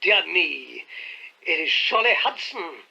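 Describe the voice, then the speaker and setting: A man reading an audiobook, voicing a nervous older country gentleman who exclaims with agitation. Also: fairly high pitch, big pitch swings, measured pace, creaky, full character voice. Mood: agitated. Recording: dull lo-fi audiobook recording.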